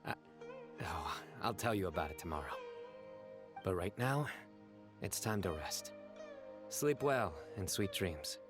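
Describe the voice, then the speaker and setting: A man playing a genial, caring young man in a video game, gentle and unhurried. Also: deep voice, lively intonation, music in the background.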